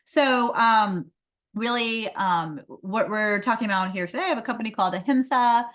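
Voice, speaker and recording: A woman's voice: on the high side, normal speed, a bit bright. A woman who grew up in India, a pediatrician and company founder, giving a conversational webinar talk with frequent fillers over a video call. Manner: conversational, many um fillers, light laughs. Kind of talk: webinar presentation.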